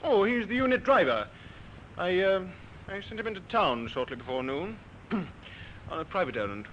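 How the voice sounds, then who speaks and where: A courteous, formal army officer in a radio play. His high voice moves about animatedly, on a dull old recording with faint noise. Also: sing-song, normal speed, fairly bright tone. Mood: obliging, courteous. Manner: polite, clipped officerly formality. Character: courteous, obliging army major, camp commander. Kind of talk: old-time radio drama acting. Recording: muffled, noisy old radio broadcast.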